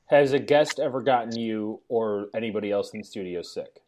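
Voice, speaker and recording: A man's voice: on the low side, a little fast, darkish tone, slight background noise. A man hosting a casual podcast, asking a guest questions in a playful, joking way. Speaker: podcast host. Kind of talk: casual chat.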